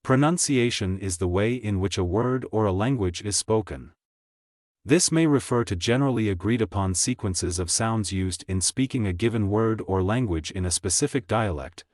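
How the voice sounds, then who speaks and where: Male, fairly deep pitch, scripted read-aloud narration. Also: darkish tone, dry recording, studio quality. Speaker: reader of practice passages.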